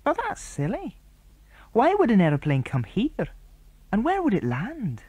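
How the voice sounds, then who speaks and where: A man's voice: high voice, wildly swooping pitch, unhurried, a little mellow, slightly rough, dry recording, voice pushed up, full character voice. A man reading aloud and voicing a brave, thoughtful young boy who leads the others, in an eager, earnest way. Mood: puzzled.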